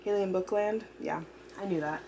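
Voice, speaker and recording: A young adult woman with a hoarse, fading voice, chatting casually about what she reads, rambling with fillers and self-corrections. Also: medium pitch, fairly quick, warm tone, a little hiss or hum, rough recording. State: hoarse, losing her voice. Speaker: book vlogger. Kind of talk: casual chat. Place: at home with a dog nearby. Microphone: camera mic.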